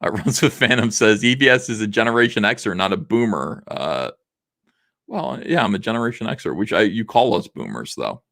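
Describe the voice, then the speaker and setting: Man with a voice going rough. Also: lowish voice, lively intonation, moderate pace, a bit bright. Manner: rambling, casual, lots of fillers. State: losing his voice. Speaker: livestream host. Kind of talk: casual livestream chat reading super chats.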